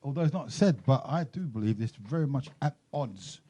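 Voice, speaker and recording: A man hosting a radio talk show with a deep, very mellow, dark tone of voice. He questions and pushes back in a measured way, often halting and restarting mid-sentence. The sound is close and dry. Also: animated, slightly rough, no echo.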